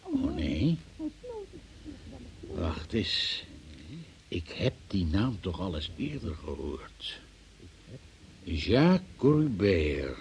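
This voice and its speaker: An actor playing a stern, sarcastic examining magistrate in a radio play, brusque and probing in manner. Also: male voice, low-pitched, sing-song, drawn-out words, creaky, long pauses, darkish tone, a little hiss or hum, full character voice. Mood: musing, insinuating. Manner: brusque, sardonic, interrogating. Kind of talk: Dutch-language radio play acting.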